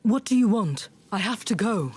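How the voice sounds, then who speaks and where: A woman's voice: mid-range voice, animated, normal speed, darkish tone, breathy edge. A woman playing a blunt, defiant warrior heroine in a video game, terse and confrontational. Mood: impatient.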